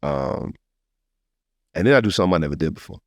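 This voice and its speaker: A man's voice: deep voice, quick pace, dry recording, clean studio sound. An American man hosting a podcast, telling stories casually and animatedly and encouraging listeners in a heartfelt, preacher-like way. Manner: animated storyteller, slips into encouraging preacher-like address. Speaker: podcast host. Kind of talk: casual, heartfelt testimony and conversation.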